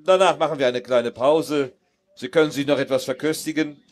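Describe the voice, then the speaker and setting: A man's voice: a little high, steady tone, a little fast, close to an everyday voice. Man playing florid, genial Soviet ambassador. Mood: ceremonious. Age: middle-aged. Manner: formal, florid, speech-making. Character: genial, ceremonious Soviet ambassador hosting a New Year reception. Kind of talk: live radio play performance. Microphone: headset on an outdoor festival stage.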